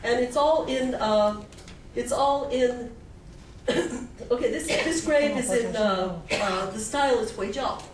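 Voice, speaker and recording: A woman's voice: a little high, slow pace, crowd noise. A woman giving an informal, explanatory public lecture, often checking in with her listeners. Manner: explanatory, checks in with frequent "okay?" and "right?". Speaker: guest lecturer, anthropologist. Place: indoor meeting room with audience. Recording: echoey room, distant mic, background noise.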